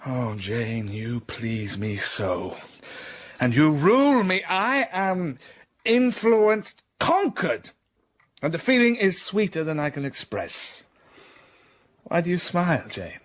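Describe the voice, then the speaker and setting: An actor playing an older wealthy gentleman who is passionate, teasing and commanding. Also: a little high, animated, slow pace. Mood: ardent. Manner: ardent, playful. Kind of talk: period radio drama.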